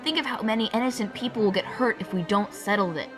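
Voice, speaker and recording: An actress playing a brave, loyal knight with an earnest, urging manner in a fantasy radio play. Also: female voice, mid-range voice, fast, fairly bright tone, faint music behind, close to an everyday voice.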